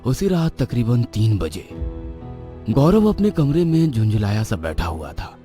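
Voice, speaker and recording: A man narrating an animated horror story in an expressive, suspenseful way. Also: mid-range voice, unhurried. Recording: background music.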